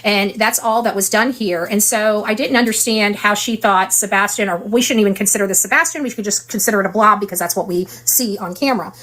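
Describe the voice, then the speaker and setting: A woman's voice: medium pitch, a little fast, few pauses, fairly bright tone, poor audio. A woman with a mid-range voice talks casually as an online commentary host. Her delivery is rambling and opinionated, circling back to points and repeating words for emphasis. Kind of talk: casual true-crime case commentary livestream.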